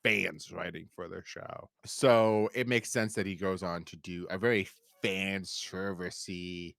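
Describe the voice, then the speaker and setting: American man, lowish voice, podcast host, casual fan discussion, enthusiastic, very dry sound. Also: fairly even intonation, measured pace, creaky, fairly bright tone, dry recording. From United States.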